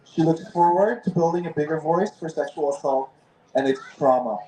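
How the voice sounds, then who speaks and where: A man's voice: a little high, moderate pace, mellow, echoey room, low-quality recording. A man reading a formal introduction of an author at a book fair booth. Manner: formal announcer delivery. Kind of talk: read introduction.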